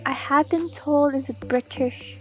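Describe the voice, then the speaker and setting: A woman streamer with a very high voice and a mostly American accent. She talks slowly and casually, with a playful, teasing manner. Faint music plays behind her. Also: fairly even intonation, a little mellow, poor audio. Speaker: streamer, digital artist. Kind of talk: casual livestream chat while drawing.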